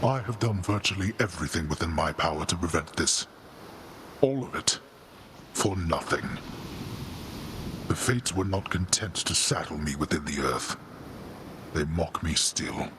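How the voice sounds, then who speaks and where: Very deep, grave underworld god. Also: male voice, expressive, background noise. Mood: bitter. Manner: formal, archaic, grave, measured. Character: stern, brooding god of the underworld, weary father. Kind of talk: dramatic video game voice acting.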